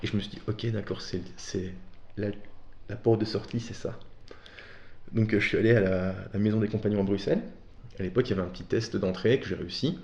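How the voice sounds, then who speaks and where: A man's voice: fairly low pitch, steady tone, fast, darkish tone. A man from Belgium talking casually as an interview guest, telling personal stories in a candid, informal, slangy way. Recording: dull, roomy echoing sound.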